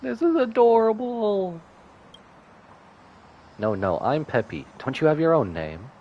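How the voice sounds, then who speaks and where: A man's voice: fairly high pitch, very animated, unhurried, highs cut off, a little hiss or hum. A man livestreaming a game, giving playful commentary and reading dialogue aloud. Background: faint game music.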